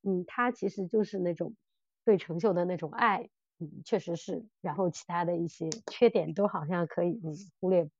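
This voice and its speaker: A woman's voice: lowish voice, normal speed, a little mellow, lo-fi sound, slight background noise. A woman hosting a podcast in casual fan chat, gushing and exclaiming with animation. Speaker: podcast host. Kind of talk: casual fan chat about audio dramas.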